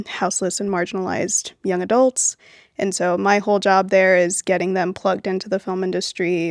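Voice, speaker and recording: A young woman from Venezuela, raised in Miami, talks easily about her film work, her sentences full of loose fillers. Her voice sits at a middle pitch with a noticeable gravelly fry. She is recorded close and dry. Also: dry recording. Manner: relaxed, with frequent "um" and "like" fillers. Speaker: guest, film producer. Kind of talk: casual interview conversation.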